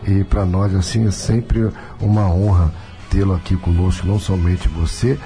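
Chatty Carioca radio host, mellow voice. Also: male voice, low-pitched, steady tone, moderate pace, faint music behind. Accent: Carioca Brazilian Portuguese. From Rio de Janeiro, Brazil. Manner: talkative, peppers sentences with tag questions. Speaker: radio show host and pastor. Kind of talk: chatty radio talk show hosting.

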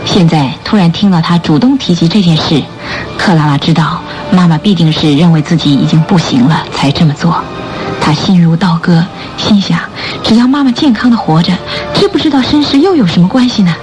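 Woman narrator, slightly low pitch, dramatic scripted storytelling, radio drama, clear background music. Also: brisk.

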